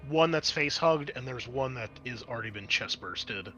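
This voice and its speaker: A man's voice: medium pitch, animated, moderate pace, bright, forceful tone. A man narrating as game master of a tabletop roleplaying game, explaining things in a conversational, improvised way.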